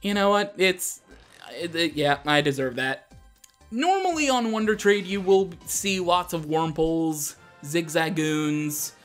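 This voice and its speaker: A man's voice: on the high side, slow pace, fairly bright tone. Chatty man over game music. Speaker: gaming show host. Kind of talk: casual gameplay commentary. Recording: music behind the voice. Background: video game music.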